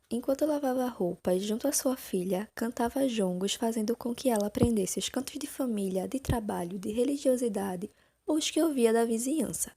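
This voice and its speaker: A woman reads a scripted narration in a measured, informative way. Her voice is slightly high and breathy, with a husky edge. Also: warm tone, no echo.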